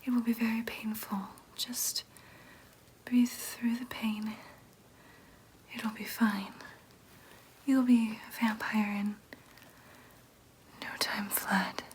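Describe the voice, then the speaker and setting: A woman voicing a gentle, businesslike vampire nurse in an ASMR roleplay, in a breathy whisper with a soothing clinical manner. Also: a little high, flat delivery, slow pace, long pauses, a little hiss or hum. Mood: calm, reassuring. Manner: soothing, clinical, hesitant asides.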